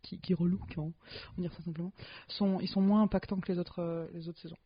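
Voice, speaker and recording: A woman's voice: lowish voice, steady tone, fast, husky. A woman co-hosting a podcast in casual chat, chatty and jokey with trailing hesitations. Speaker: podcast co-host. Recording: dull lo-fi podcast sound.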